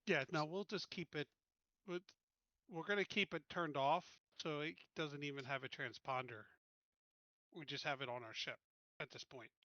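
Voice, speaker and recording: A man playing a tabletop game, chatting casually and thinking aloud in a halting way. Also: a little high, sharp tone, no room sound, highs cut off.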